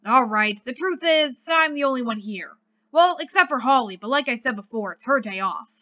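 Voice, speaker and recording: Woman reading as apologetic angel estate agent guy in a story, high voice, explains stuff, friendly. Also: expressive, moderate pace, bright, forceful tone, highs cut off. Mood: explaining.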